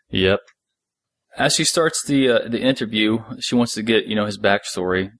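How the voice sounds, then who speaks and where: Low-voiced man recapping casually, close and dry. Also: expressive, unhurried, a bit bright, no room sound. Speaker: co-host of a fan podcast. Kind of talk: casual episode recap discussion.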